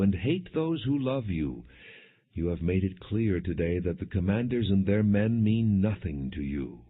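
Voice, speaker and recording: A man's voice: lowish voice, breathy edge. A man voicing a blunt, stern army commander in an audiobook scripture reading, firm and admonishing. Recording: dull, lo-fi narrow-band audio.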